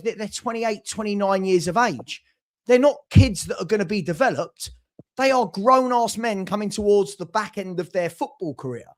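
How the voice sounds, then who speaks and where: A man on a football fan livestream, arguing his point in a brisk, reasoned way and checking in with rhetorical tag questions. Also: sits high. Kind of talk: casual argumentative chat.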